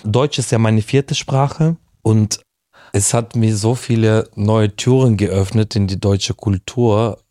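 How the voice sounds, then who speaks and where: A man talks as a guest on a podcast, his voice low and mellow. He is thoughtful and restarts often while he searches for the right words. The recording is dry, with no room sound. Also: fairly even intonation, takes it slowly, no room sound. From Ukraine. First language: Russian. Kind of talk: casual conversation.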